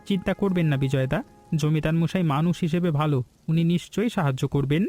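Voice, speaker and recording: A man's voice: on the high side, a little fast, a little mellow, natural voice. A man playing an eager, ambitious village business partner in a cartoon story, persuasive and respectful. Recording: background music throughout.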